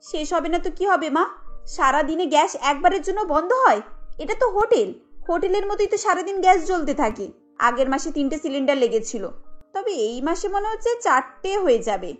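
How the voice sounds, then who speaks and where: A woman's voice: extremely high pitch, expressive, normal speed, faint music behind. A woman voicing a harried, thrifty daughter-in-law in a cartoon, complaining and insisting firmly. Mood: fed up.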